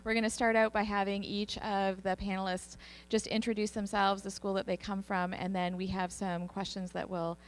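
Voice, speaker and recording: A middle-aged woman moderating a student panel in an upbeat, friendly way. Her voice sits at a middle pitch, and she drops in occasional fillers. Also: flat delivery, normal speed, low-quality recording. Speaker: moderator, professor. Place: conference hall at a college.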